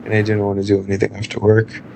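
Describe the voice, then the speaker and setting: A man in his thirties chatting casually on a live stream, loose and rambling with lots of fillers and a low speaking voice. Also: completely flat delivery, fast talker, vocal fry, noisy background. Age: 30s.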